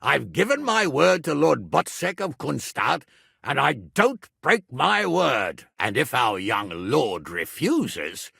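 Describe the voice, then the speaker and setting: Irascible medieval nobleman, raspy, wildly swinging intonation, commanding, video game dialogue. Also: mid-range voice, sing-song, takes it slowly, pushed and bright, no echo, clean studio sound, exaggerated voice. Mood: stern, exasperated. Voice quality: gravelly, raspy. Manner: gruff, commanding, scolding. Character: blustering, irascible medieval nobleman, guardian of his ward.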